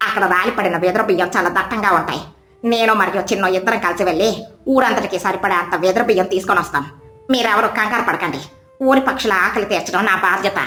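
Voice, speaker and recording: Woman voicing a brisk, bright, responsible mother bird. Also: mid-range voice, echoey room, soft background music, lightly acted. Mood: confident. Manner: confident, enthusiastic. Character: responsible, energetic mother bird, village provider.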